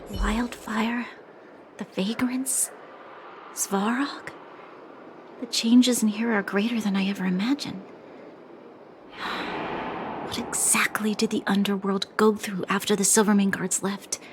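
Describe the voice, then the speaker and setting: A woman's voice: medium pitch, flat delivery, slow pace, long pauses, a little hiss or hum, close to an everyday voice. A woman playing an earnest, dutiful young military officer in a video game, speaking formally with reserved precision. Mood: pensive, troubled. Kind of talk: video game character acting. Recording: background music under the voice.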